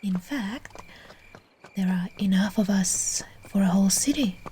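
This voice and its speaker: A woman playing a soothing, genteel yet possessive vampire in a breathy, whispered ASMR roleplay. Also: medium pitch, slight background noise, lightly acted. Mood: candid, gentle. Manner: slow, gentle, genteel endearments.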